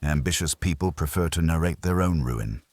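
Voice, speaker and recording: Man playing an imperious, deep-voiced master detective. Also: moderate pace, darkish tone, no room sound. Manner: formal, commanding, ornate phrasing.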